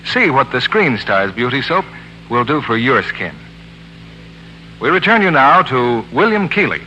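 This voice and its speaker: A man's voice: mid-range voice, big pitch swings, unhurried, pushed and bright, slight background noise. A man announcing a 1940s radio commercial with emphatic, polished salesmanship. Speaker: radio announcer reading soap advertising. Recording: reverberant narrow-band old radio broadcast.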